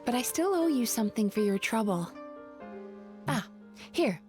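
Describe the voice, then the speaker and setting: A gentle young dream-eating spirit in a game, with a slightly high voice. She speaks softly and politely and apologizes readily. Quiet game music sits behind her. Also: sing-song, normal speed. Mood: apologetic. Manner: soft-spoken, polite and apologetic. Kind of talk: video game voice acting.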